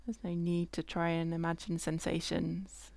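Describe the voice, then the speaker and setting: A woman leading a guided body scan meditation in a gentle, unhurried way, leaving long pauses between phrases. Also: lowish voice, flat delivery, creaky, dry recording.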